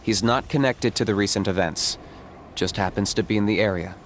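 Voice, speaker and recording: A man plays a reserved young hunter in a game, speaking low and steady in an unhurried, practical tone. Faint noise sits under his lines. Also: close to an everyday voice. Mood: flat. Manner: measured, matter-of-fact. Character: calm young male hunter, pragmatic and reserved.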